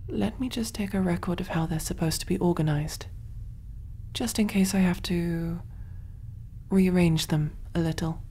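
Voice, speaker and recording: A woman voicing a kind, clinical alien surgeon in a gentle, reassuring ASMR roleplay, soft-spoken and often whispering. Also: medium pitch, vocal fry, slight background noise. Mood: fascinated.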